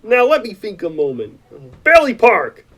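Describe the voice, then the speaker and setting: A man's voice: sits high, wildly swooping pitch, moderate pace, a bit bright, exaggerated voice. A man voicing a frantic puppet frog who plays a bewildered small-town family man in a comic radio play, with a thin, strained, pleading, folksy delivery. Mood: distressed.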